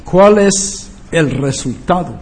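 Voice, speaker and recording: Slow-paced older preacher. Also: male voice, a little high, animated, a little mellow. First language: not a native Spanish speaker. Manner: emphatic, repeats key phrases and checks understanding. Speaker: preacher and Bible teacher. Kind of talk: church teaching sermon with rhetorical questions.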